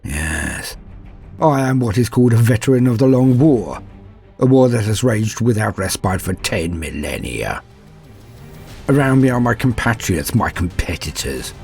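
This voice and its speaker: Dude voicing an ancient evil war veteran, low n menacing, grand slow-burn storytelling, faint music under it. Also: male voice, big pitch swings, a little mellow. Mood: grim, cold. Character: ancient proud cruel male warrior, contemptuous veteran. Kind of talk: dramatic first-person war storytelling.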